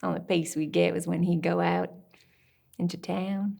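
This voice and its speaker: A woman's voice: on the low side, level tone, normal speed, creaky, a little mellow. A young woman playing an abused daughter, recounting life with her violent father in a folksy, plain-spoken dramatic monologue. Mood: subdued, wary. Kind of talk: dramatic stage-style monologue.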